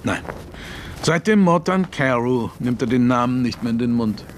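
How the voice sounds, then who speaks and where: An actor playing a genial, worldly older London lawyer with an urbane, measured, wry manner in a radio play. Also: male voice, medium pitch, animated, slight background noise, lightly acted. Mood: wry, avuncular. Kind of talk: German radio play dialogue.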